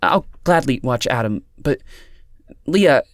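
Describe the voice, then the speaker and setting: A man's voice: mid-range voice, animated, takes it slowly, no room sound, studio quality, pitched above the performer's own voice. A man voicing an anxious, timid young woman in an audiobook, with a trembling, hesitant and pleading delivery. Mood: nervous, confused.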